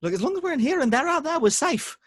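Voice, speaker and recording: A woman playing an exasperated, panicky but cautious man with excitable, emphatic delivery in an amateur table read. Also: sits high, fast, dry recording, studio quality. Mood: stubborn.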